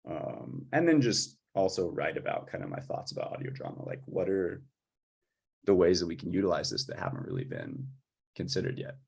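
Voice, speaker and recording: A man in an informal chat about music production, tentatively working through his ideas out loud. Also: low-pitched, huge pitch swings, fairly quick, vocal fry, slightly rough. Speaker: composer for audio drama.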